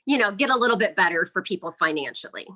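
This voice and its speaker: A woman's voice: mid-range voice, animated, fast talker, bright, forceful tone. A woman speaking casually and enthusiastically as the guest in an interview about her indie projects. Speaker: interview guest, indie horror filmmaker and podcaster. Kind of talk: casual promotional interview chat.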